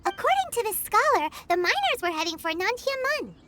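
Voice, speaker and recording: Very high, squeaky childlike companion. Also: female voice, very animated, a little fast, music in the background. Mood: thoughtful. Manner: refers to self in third person, exclaims eagerly. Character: tiny floating childlike companion, excitable and chirpy. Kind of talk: video game voice acting.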